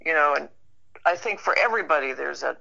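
A woman's voice: sits low, animated, quick pace, vocal fry, bright, forceful tone. A woman chatting casually and reflectively as an interview guest, informal and plain-spoken. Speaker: interview guest, actor and writer. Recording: dull lo-fi online-call sound.

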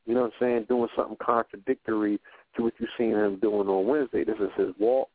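A man talking in a relaxed, reflective way on a radio roundtable, often stopping to ask "you know what I'm saying". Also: on the low side, dry recording. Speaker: radio show guest. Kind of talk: casual roundtable talk. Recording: phone-like narrow line.

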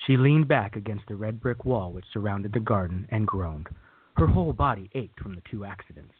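A man's voice: fairly low pitch, lively intonation, fairly quick, slightly rough, dry recording. A man narrating a scripted radio drama as a storyteller. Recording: tinny, phone-like narrow band.

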